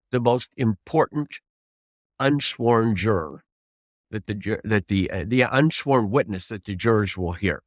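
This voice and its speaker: A man's voice: medium pitch, dry recording, clean studio sound. A man in his seventies, a veteran trial lawyer, giving unscripted advice in an emphatic, reflective way and restating points for stress. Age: 70s.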